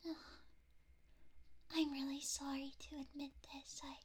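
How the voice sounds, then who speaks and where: A woman's voice: high voice, little pitch movement, a little slow. A woman playing a whispering obsessive lovestruck intruder. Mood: pleading. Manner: soft close whisper, stammering restarts. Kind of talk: whispered ASMR roleplay.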